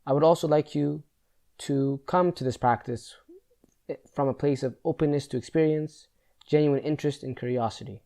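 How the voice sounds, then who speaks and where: Mellow-voiced man guiding a meditation. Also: on the high side, normal speed, creaky. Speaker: meditation guide. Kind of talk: guided meditation, slow instruction.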